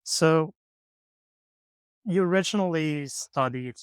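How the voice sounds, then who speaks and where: A man hosting a podcast, talking in an easygoing, halting way with many pauses and "um"s. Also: on the high side, smooth, dry recording, clean studio sound. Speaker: podcast host. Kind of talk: casual interview chat. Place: hostel room.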